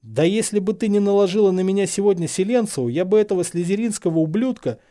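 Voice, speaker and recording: A man's voice: sits high, fast talker, mellow, pitched above the performer's own voice, natural voice. A man voicing a hot-headed, stubborn teenage wizard boy in an audiobook, blunt and indignant. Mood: angry. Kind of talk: dramatised fan fiction audiobook.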